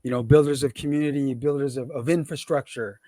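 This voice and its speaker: A man's voice: mid-range voice, steady tone, a little fast, mellow, no echo. A man hosting an interview podcast, talking in a hype, emphatic way with hip hop slang. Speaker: podcast host.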